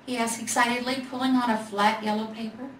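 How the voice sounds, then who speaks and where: A woman's voice: on the high side, level tone, a little fast. A woman reading a children's storybook aloud as a storyteller. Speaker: storyteller in character as Mrs. Claus. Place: library event room. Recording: echoey room, distant mic, faint noise.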